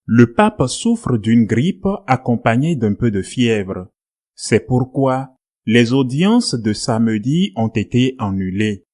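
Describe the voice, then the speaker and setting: A man's voice: mid-range voice, very animated, takes it slowly, darkish tone. A man reading a scripted news report as a narrator. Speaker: news reader.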